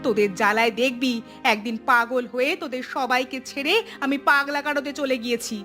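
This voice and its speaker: A woman's voice: sits high, fairly even intonation, brisk, bright, forceful tone, faint music behind. A woman voicing a nagging, exasperated village mother in a cartoon, scolding and complaining. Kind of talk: cartoon voice acting.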